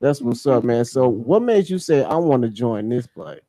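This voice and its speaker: A man's voice: mid-range voice, lively intonation, moderate pace, warm tone. An American man hosting a casual radio interview, talking in an informal, slangy way and often repeating his phrases. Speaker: radio show host.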